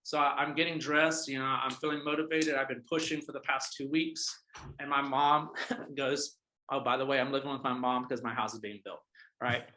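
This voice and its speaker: A man's voice: mid-range voice, level tone, normal speed, pushed and bright, rough recording. A man in his thirties coaching other realtors in a casual, emphatic motivational talk, repeating his points and checking in with the listeners. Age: 30s. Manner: emphatic, repeats points, often adds "all right?". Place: office.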